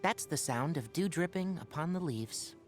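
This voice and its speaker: An actor playing a young fox-eared forest ranger in a video game, scholarly and patient, speaking in an articulate, instructive and gently wry way. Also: male voice, fairly high pitch, animated, moderate pace, faint music behind, lightly acted. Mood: calm, instructive. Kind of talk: scripted game character voice lines.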